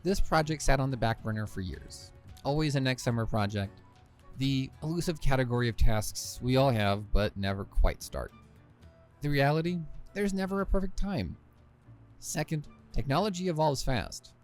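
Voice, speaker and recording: A man's voice: medium pitch, moderate pace, soft background music. A man hosting a podcast and reading his own scripted book narration, with wry, self-deprecating asides. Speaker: podcast host and author.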